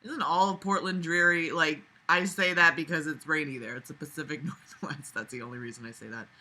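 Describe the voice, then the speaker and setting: A woman's voice: deep voice, steady tone, brisk, bright, forceful tone. A woman reading a novel aloud and breaking off for casual, mocking, exasperated commentary full of swearing. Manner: sarcastic, exasperated, foul-mouthed.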